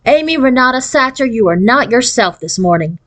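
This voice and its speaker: Woman acting a bossy stage mother, pitched up. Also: animated, fairly quick, a bit bright. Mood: stern. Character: bossy, pushy stage mother of a young girl.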